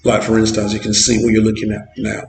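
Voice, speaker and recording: A man with a Ghanaian English accent speaks earnestly to camera from a street, repeating words and pausing on fillers. His voice has a gravelly edge. The sound is roomy, with faint street ambience behind him. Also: lowish voice, monotone, a little hiss or hum. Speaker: vlogger. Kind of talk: walking street vlog. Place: outdoors on a street.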